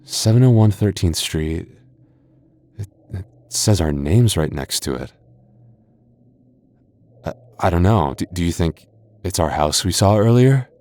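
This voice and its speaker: A man's voice: low-pitched, animated, measured pace, long pauses, dark tone, no room sound. A man voicing a boyfriend in an ASMR roleplay, stammering through restarts and teasing playfully.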